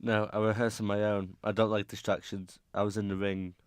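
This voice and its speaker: A man's voice: on the low side, level tone, brisk, smooth, dry recording, lightly acted. A man playing a guarded young circus singer with a secret, speaking earnestly in an amateur radio drama.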